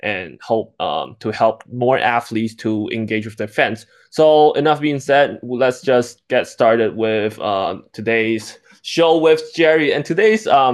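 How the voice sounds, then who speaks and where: A young man from Taiwan hosting a sports podcast, talking in a halting way with lots of fillers. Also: medium pitch. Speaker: podcast host.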